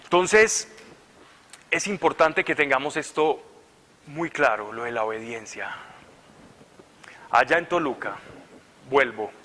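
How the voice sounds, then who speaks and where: A man preacher giving an animated, conversational sermon full of stories at a retreat. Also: mid-range voice, takes it slowly, pauses often, long reverb tail. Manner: animated, conversational, laughing at his own stories. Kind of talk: sermon with storytelling. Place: large hall at a retreat.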